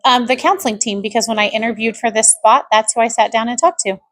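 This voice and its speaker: Woman counselor, fairly high pitch, casual chatty answers. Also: fast talker, fairly bright tone.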